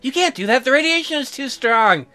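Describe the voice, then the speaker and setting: A gamer acts out an agitated family man from a visual novel game, protective and worried. The delivery is forceful and strained, swinging widely in pitch, over faint music. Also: expressive, brisk.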